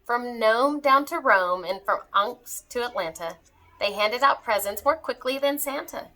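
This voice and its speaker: A woman reading a rhyming children's story aloud with expressive storytelling delivery. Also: on the high side, measured pace, low-quality recording.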